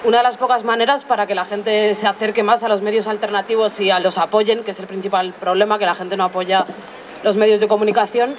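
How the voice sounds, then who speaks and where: Young adult speaker making a measured point outdoors. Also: medium pitch, flat delivery. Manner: measured, explanatory, frequent filler sounds. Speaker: audience member at a public assembly. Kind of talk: spontaneous public debate contribution. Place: outdoor street assembly. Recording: outdoor recording with faint street noise.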